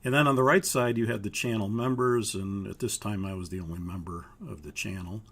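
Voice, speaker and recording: An older man from Minnesota, hoarse from a cold, walking students through a flight training course in a halting way with many pauses. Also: fairly low pitch. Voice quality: gravelly, husky. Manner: halting, with frequent uhs. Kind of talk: live online course walkthrough.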